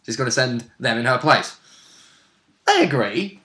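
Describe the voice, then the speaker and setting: A man's voice: mid-range voice, big pitch swings, measured pace, sharp tone. A man talking casually and informally about a tabletop role-playing session, as one of its players.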